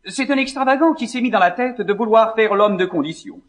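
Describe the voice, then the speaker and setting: An actor playing a vain, scornful young gentleman in a classical French stage comedy, declaiming with indignation. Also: high voice, fairly quick, natural voice. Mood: sly, mocking.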